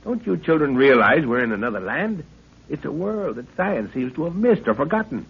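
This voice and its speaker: A man's voice: fairly high pitch, sing-song, normal speed, vocal fry, slightly rough, full character voice. An actor playing a middle-aged explorer father, curious, authoritative and given to explaining, in a 1930s radio serial. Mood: marvelling. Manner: explanatory, exclaiming, commanding. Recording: dull 1930s radio recording.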